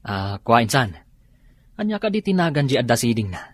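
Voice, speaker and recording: A nosy, naive worker who grumbles and wisecracks. His voice is husky and very lively in pitch, with an Ilocano accent, in radio drama style. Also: brisk, no room sound. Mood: cheeky.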